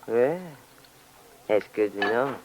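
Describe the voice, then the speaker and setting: An actor playing a cagey crook in an old radio drama, talking in a casual, slangy and slightly pushy way. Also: male voice, fairly low pitch, slow. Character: cagey, slangy male crook on the run, scheming. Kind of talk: 1940s-50s radio drama acting. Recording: muffled, noisy old radio recording.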